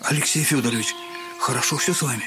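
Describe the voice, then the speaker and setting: An actor playing a kindly, deferential old manservant with a halting, respectful manner, in a Russian audio drama. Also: male voice, mid-range voice, noisy background, close to an everyday voice. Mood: kindly, subdued.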